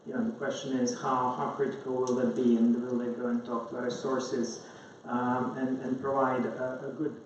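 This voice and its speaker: A man's voice: medium pitch, darkish tone. A man from Croatia gives an informal conference presentation, explaining things in a hesitant, loosely structured way. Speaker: conference speaker, science journalist. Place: indoor conference room. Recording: very echoey room, distant mic, dull, faint noise.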